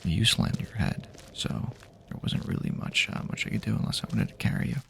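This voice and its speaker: A man speaking softly and half-whispering in a halting, casual, rambling way, improvising an immersive ASMR roleplay as an apocalypse survivor. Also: a little hiss or hum. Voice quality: breathy, half-whispered, gravelly. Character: weary friendly male apocalypse survivor guiding a companion.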